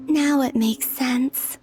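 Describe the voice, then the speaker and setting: Game casting: pensive young goddess, soft, solemn, lightly high-pitched, very unhurried delivery. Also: female voice. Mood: realizing, resolved. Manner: slow, measured, introspective monologue. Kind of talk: video game voice acting.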